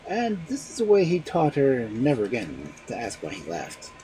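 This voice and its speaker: A man tells stories with a hugely varied, swooping delivery at a middle pitch. He moves between animated narration and casual remarks as a livestream host, with faint noise behind his voice. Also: moderate pace, poor audio. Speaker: storyteller host of a live stream. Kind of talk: animated fairy tale reading with casual asides.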